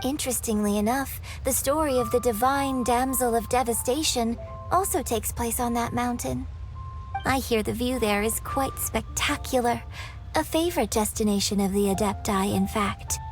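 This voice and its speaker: A woman's voice: fairly high pitch, music in the background, natural voice. A woman playing a poised, eloquent young opera performer, speaking with refined, lyrical phrasing in a video game. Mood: refined, reflective. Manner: refined, lyrical, measured storytelling. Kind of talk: video game voice acting, theatrical storytelling.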